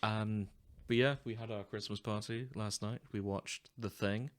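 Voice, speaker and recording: A man's voice: lowish voice, steady tone, measured pace. A man co-hosting a podcast in casual, tongue-in-cheek chat, recorded in a living room on a podcast microphone. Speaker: podcast co-host.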